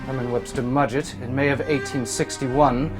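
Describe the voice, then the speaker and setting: A man's voice: medium pitch, fairly even intonation, over music, lightly acted. An actor playing an imprisoned, haunted murderer who recites his self-justifying memoir in formal, bookish language on a theatre stage. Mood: solemn, florid. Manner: formal, bookish, measured recitation breaking into alarm. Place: theatre stage before an audience.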